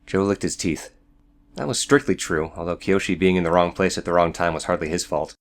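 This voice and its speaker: A man's voice: sits low, fairly even intonation, fairly quick. A man reading scripted fiction as the narrator of an audiobook.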